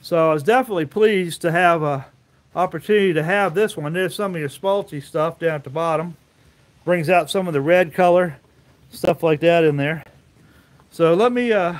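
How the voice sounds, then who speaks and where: High-voiced man, rambling casually. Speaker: host, sawmill woodworker. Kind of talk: casual live vlog while working. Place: open-sided sawmill shed outdoors. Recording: outdoor workshop noise, varying distance from mic.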